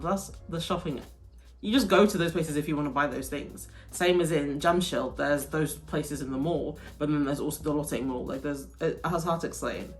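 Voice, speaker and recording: A young woman from the UK talks through a casual Q&A while doing her makeup, rambling and filling her sentences with "like." Her voice sits low and deep for a woman. Soft music plays quietly behind her. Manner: chatty, lots of "like" and restarts. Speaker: vlogger, teacher in Korea. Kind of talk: casual get-ready-with-me Q&A.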